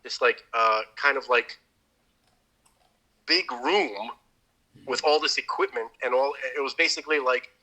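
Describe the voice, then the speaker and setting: Bright-voiced man telling a rambling story. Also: mid-range voice, measured pace, long pauses, low-quality recording. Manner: rambling storyteller, many restarts. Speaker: podcast co-host. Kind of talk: casual chat.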